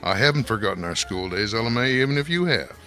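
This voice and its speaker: An actor playing a smug, sneering gossip author in a radio mystery, sarcastic, theatrical and mocking. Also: male voice, fairly low pitch, lively intonation, quick pace, bright, forceful tone, soft background music. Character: smug, sneering male gossip author taunting old acquaintances. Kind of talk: radio mystery drama acting.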